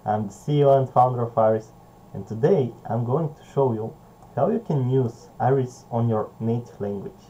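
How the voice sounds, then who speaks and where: A young man with a Bulgarian accent, not a native English speaker, leading a screen-recorded software tutorial in a halting way, with fillers and soft laughs. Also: medium pitch. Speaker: software company founder. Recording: dull, lo-fi home recording with faint hiss.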